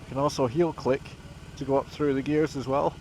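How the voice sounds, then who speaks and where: A man's voice: mid-range voice, fairly even intonation, fairly quick, rough recording. A man with a slightly blocked nose, chatting casually as he reviews a motorcycle while riding it and describing each move. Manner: chatty, narrates his actions while riding. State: sniffly, a bit blocked nose. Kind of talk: casual ride commentary. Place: on a motorcycle on the road outdoors. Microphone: action camera mounted on chin. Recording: loud engine and wind noise.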